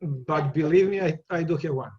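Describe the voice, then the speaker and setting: Serbian accented guy in an interview, slightly high voice, lots of restarts, muffled audio. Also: darkish tone. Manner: halting, many repeated words and restarts, laughs easily. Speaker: guest. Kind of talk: casual interview conversation.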